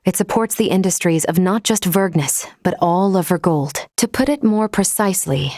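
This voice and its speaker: A woman playing a logical, composed female android officer in a video game, speaking formally and precisely in a measured, unemotional way. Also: fairly low pitch, darkish tone, no room sound, clean studio sound, lightly acted. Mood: calm, explanatory.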